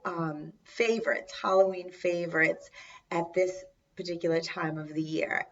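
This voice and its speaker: A woman with a raspy, congested voice hosting a video, chatting casually to viewers with conversational, emphatic asides. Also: on the low side. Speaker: host of a video channel. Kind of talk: casual chat to viewers, recounting film trivia. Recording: distant mic, dull lo-fi sound.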